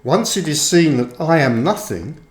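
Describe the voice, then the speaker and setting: A man reading his own book aloud as scripted narration, with measured, deliberate phrasing. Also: on the high side, lively intonation. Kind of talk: audiobook-style scripted narration. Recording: roomy, echoing sound.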